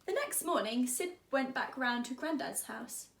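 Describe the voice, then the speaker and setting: A woman teacher with a fairly high voice reads to children. She is expressive and deliberate, lingering on words at a slow pace. The room adds a clear echo. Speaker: teacher reading to pupils. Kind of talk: expressive children's story reading.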